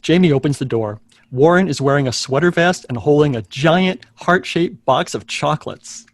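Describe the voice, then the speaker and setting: A man's voice: on the high side, expressive, moderate pace, dry recording. A man reading stage directions as the narrator of a live sitcom table read.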